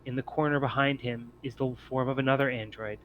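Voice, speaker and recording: A man reading scripted, descriptive scene-setting narration as game master of a tabletop game, in a measured storytelling way. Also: mid-range voice, steady tone, narrow, tinny audio. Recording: noticeable background noise.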